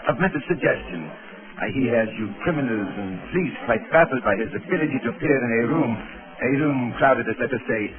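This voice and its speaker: A man acts a learned foreign gentleman doctor from a 1930s radio play. He speaks with formal, measured courtesy over a narrow, tinny recording with voices murmuring behind. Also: mid-range voice, lively intonation, crowd noise, close to an everyday voice. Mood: genial, curious. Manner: formal, measured, chuckling politeness. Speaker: radio actor. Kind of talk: 1930s radio drama acting.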